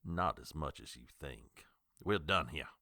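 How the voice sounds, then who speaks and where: An annoyed small-town doc, real deep, bright edge, dry sound. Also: male voice, expressive, unhurried, no room sound, exaggerated voice. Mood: indignant. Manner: curt and indignant. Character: stern, defensive male small-town doctor.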